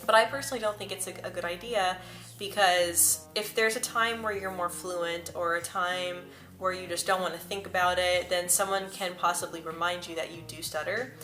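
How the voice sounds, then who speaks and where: A young woman talks casually to camera, often stuttering on sounds and whole words. Her voice is bright and sits slightly low. Soft music plays faintly behind her. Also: fairly even intonation, normal speed. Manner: earnest and personal. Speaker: vlogger. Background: soft background music.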